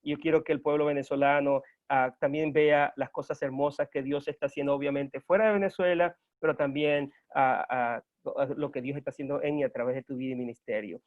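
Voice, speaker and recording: A man's voice: mid-range voice, fairly even intonation, a little fast. A man hosting an interview, speaking formally with hesitant "ah" fillers. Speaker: interview host. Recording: dull lo-fi sound.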